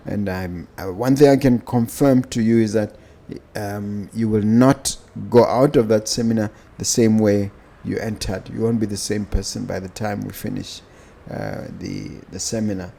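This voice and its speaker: A male podcast host with a Botswana accent speaks in a casual way, feeling his way from phrase to phrase. His voice sits fairly low and has a noticeable gravelly fry, with a soft, dark tone. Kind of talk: casual podcast hosting and interviewing.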